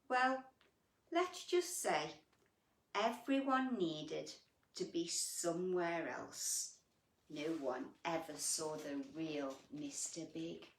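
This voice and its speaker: A woman storyteller reads a children's picture book at an unhurried pace, her pitch swinging expressively. She pauses for drama, stretches key words and adds gentle, sympathetic asides to the child listening. The sound is roomy, as if the microphone sits a little way off. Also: slight background noise. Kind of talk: animated bedtime story reading with chatty asides to young listeners. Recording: roomy echo, distant microphone.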